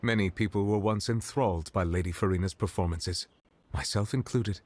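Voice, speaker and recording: A courteous man of high office voiced by an actor speaks in a low, measured voice. His video game dialogue is formal and dignified, with some ambient noise behind it. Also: a little mellow, lightly acted. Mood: courteous, sincere.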